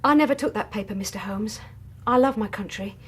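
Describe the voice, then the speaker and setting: A woman's voice: mid-range voice, a little fast, slightly rough. A woman acting in a British radio drama as a harried, defensive, devoted daughter of a poor family, anxious and urgent.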